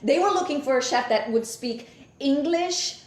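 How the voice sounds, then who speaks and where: A middle-aged Brazilian woman with a fairly high voice and a Brazilian accent from her native Portuguese. She tells her story as an enthusiastic, passionate guest in a conversational interview. The sound is that of an echoey room over a video call. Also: lively intonation, moderate pace. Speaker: guest chef and cookbook author.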